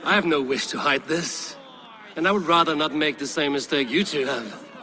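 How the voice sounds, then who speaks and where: An actor playing a steadfast, wry young Viking warrior in a video game, dry and plain-spoken. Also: male voice, fairly high pitch, normal speed, bright, forceful tone. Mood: sincere, steady. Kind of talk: video game cutscene acting.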